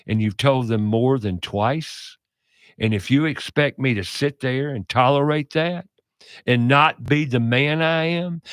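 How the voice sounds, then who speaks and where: A man hosting a radio talk show, speaking in a loud, passionate, preachy way. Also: medium pitch, no room sound, studio quality.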